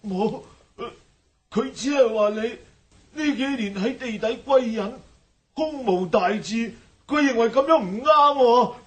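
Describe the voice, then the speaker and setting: An actor playing a timid gang underling in a radio drama, speaking with a Hong Kong Cantonese accent and a pleading, apologetic manner. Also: male voice, very high-pitched, takes it slowly.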